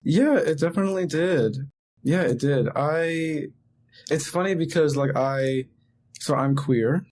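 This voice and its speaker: A man from Texas and Tennessee who is a guest on an interview, talking in an animated, candid way with easy laughter. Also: fairly high pitch, a little slow, a little hiss or hum. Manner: animated, candid, laughs easily, many fillers. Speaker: guest, photographic artist. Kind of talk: casual interview talk.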